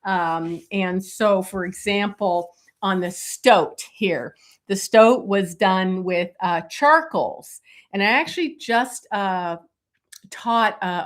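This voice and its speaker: A middle-aged woman illustrator chatting casually on a live stream, with many fillers and a voice going hoarse. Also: mid-range voice. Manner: many fillers, talks while showing pages. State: losing her voice, coughing.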